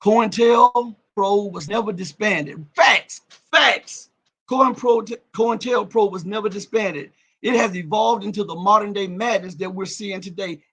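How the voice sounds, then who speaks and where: Gravelly male live-stream host, high-set voice, raspy and cracking at times, emphatic, mutters his asides. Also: a bit bright. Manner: emphatic, repeats words and restarts, drops his voice to mutter asides. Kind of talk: live-stream commentary, reading viewer comments.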